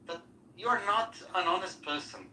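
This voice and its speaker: Insistent man, bright, roomy and distant. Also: sits high, normal speed, faint music behind. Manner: insistent, accusatory, repeats demands. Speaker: debate participant. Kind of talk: heated online debate. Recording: echoey room, distant from mic, faint noise.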